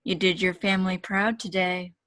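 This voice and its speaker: A woman playing a calm, mysterious muscular man who offers laconic encouragement in a fan fiction table read. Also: fairly low pitch, normal speed, no room sound, clean studio sound, natural voice. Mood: calm, encouraging.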